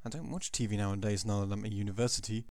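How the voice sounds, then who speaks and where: A man's voice: lowish voice, fairly even intonation, very fast, a crowd in the background. An eighteen-year-old man with an English accent chatting casually at his computer, rambling with many ums and self-corrections. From England. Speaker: vlogger. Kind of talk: casual chat answering a questionnaire.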